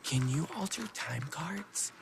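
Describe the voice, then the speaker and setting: A man's voice: on the high side, lively intonation, takes it slowly, vocal fry, very noisy, full character voice. An actor voicing a big, nervy, sheepish male elephant office worker in a cartoon, hesitant and awkward. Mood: hopeful. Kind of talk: animated comedy acting.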